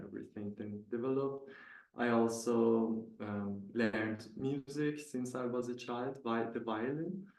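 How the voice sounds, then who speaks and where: A man speaks calmly in a flat, steady tone. His sentences are plain and simple. The room around him is echoey. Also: mid-range voice. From Iran. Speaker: co-founder, architect and violinist. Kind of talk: interview answers.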